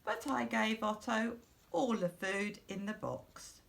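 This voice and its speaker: Slow-reading woman with a creaky voice. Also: a little high, a bit bright, a little hiss or hum. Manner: slow, deliberate, simple phrasing for young children. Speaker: school storyteller. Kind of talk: expressive read-aloud of a children's storybook.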